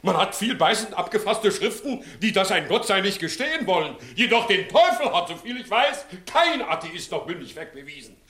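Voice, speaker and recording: Blustering rogue judge, classic radio comedy. High-pitched, sharp, pompous declamation in a roomy studio. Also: male voice, big pitch swings, normal speed, few pauses, heavily acted. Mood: mock solemn. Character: blustering, crafty, guilty old village judge. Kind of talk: 1960s German classical comedy radio play acting.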